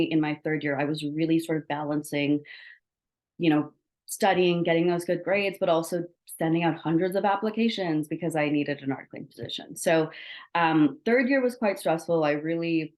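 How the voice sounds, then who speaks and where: Low-voiced woman, reflective interview answers. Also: a little mellow. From Sri Lanka. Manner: reflective, measured, many fillers. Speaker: guest lawyer. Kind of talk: interview, career talk.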